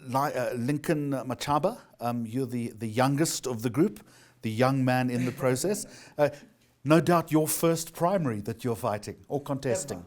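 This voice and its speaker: A South African man hosting and moderating a political debate, brisk and welcoming. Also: mid-range voice. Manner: brisk, warm, animated intonation. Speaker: debate host.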